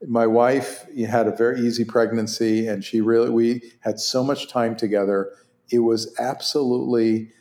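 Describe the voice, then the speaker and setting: Hebrew-speaking older man telling stories in an echoey room. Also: mid-range voice. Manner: animated, laughs often, many restarts. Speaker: interview guest, orthopedic surgeon. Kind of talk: conversational storytelling.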